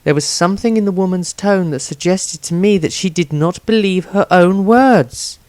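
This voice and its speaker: A gentle, earnest young priestess played by a man in a fantasy audiobook. The voice is pitched well up, anxious and carefully formal, recorded very dry and close. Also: moderate pace, dry recording, natural voice. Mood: troubled, urgent.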